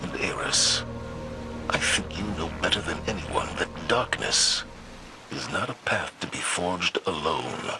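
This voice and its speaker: Deep-voiced, stern military commander. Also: male voice, expressive, music in the background. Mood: concerned, firm. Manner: measured, authoritative, serious. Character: stern, wary veteran male military commander. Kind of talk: dramatic game dialogue. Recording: noisy game sound effects behind.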